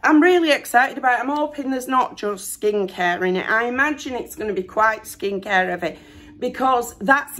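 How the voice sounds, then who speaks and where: A middle-aged woman chatting excitedly to camera from her bedroom, her voice still hoarse after losing it to illness. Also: mid-range voice. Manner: chatty, excited, self-deprecating. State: hoarse, recovering from lost voice. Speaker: vlogger. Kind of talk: casual chat. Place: bedroom at home, indoors.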